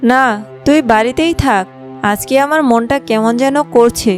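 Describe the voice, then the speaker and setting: A woman's voice: on the high side, moderate pace, faint music behind, lightly acted. A woman voicing an anxious, caring village mother in a cartoon fairy tale. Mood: worried.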